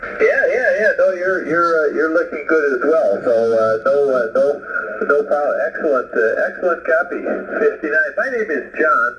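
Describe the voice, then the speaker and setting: A man chatting casually as a ham radio operator over a two-way radio, halting and rambling as he goes. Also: on the high side, very animated, slight background noise. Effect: two-way radio transmission. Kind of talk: casual radio contact chat. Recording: muffled, roomy ham radio signal.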